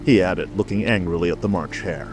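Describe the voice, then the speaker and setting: Male audiobook narrator: low pitch, scripted storytelling, music bed. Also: fairly quick.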